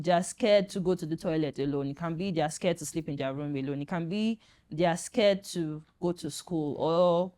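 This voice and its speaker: A woman doctor on a panel, calmly explaining childhood anxiety to parents in an earnest, reassuring way, with frequent fillers. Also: fairly low pitch. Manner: earnest and reassuring, with many "you know" fillers. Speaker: pediatric doctor and guest.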